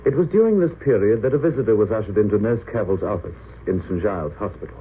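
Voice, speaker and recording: A man's voice: fairly low pitch, expressive, fast. A man narrating an old radio drama in a grave, theatrical, formal announcer's style. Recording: noisy narrow-band old radio recording.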